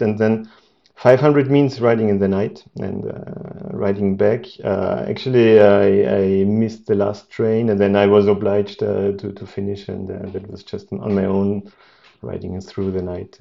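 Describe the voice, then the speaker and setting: Man, 40s, guest, halting and full of fillers, low gravelly voice. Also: highs cut off. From Austria. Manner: hesitant, many fillers and restarts.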